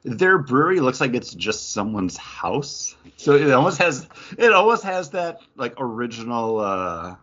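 A man's voice: medium pitch, very animated, measured pace, hardly any pauses, fairly bright tone, lo-fi sound. A man hosting a podcast, giving a casual review in a conversational way with slangy asides. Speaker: podcast host.

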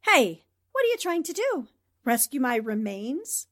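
Actress as high, brash radio girl reporter. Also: very animated, a little slow, a bit bright, full character voice. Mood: indignant. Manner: scolding, sarcastic. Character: brash, indignant, wisecracking female newspaper reporter. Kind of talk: 1940s radio drama acting.

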